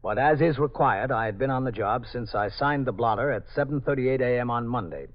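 An American man playing a police captain who narrates his night tour in a measured, matter-of-fact, official manner in a 1950s radio drama. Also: medium pitch, lightly acted. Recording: dull 1950s radio broadcast.

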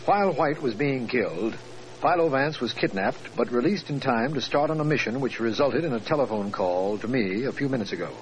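District attorney for vintage radio drama male actor: formal, orderly, medium pitch, faint recording noise. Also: animated, a little fast, lightly acted. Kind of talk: 1940s radio drama narration and acting. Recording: noisy 1940s radio recording.

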